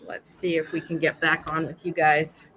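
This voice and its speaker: Bright-voiced woman hosting a livestream draw. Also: deep voice, little pitch movement, normal speed, slightly rough, low-quality recording. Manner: chatty and apologetic, talking straight to viewers. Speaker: livestream host running a prize draw. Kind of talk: casual livestream chat.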